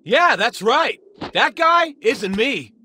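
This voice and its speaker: An actor playing an earnest, plain-spoken young martial arts hero in an anime video game, exclaiming with excitement. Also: male voice, very high-pitched, takes it slowly, breathy edge, full character voice. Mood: surprised, earnest. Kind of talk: English anime game dub acting.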